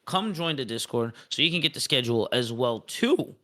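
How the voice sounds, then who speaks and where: Guy hosting an esports stream, bright forceful voice, hypes things up and says stuff twice. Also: mid-range voice, expressive, normal speed. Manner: upbeat, repeats words for emphasis. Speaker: esports league host. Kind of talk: casual live-stream esports chat.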